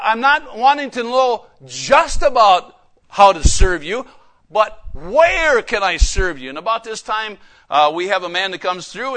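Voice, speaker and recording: A man delivers a sermon in a high, forceful voice. He is folksy and emphatic, moving between personal storytelling and pointed questions to his listeners. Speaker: preacher and missionary.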